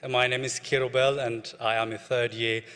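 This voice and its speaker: A man's voice: medium pitch, flat delivery, fairly quick, fairly bright tone, clean tone. Young Ethiopian-accented man in an echoey hall. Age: young adult. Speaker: PhD student presenting research. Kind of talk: conference speed talk, personal storytelling. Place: large hall at a conference. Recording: echoey hall with long reverb.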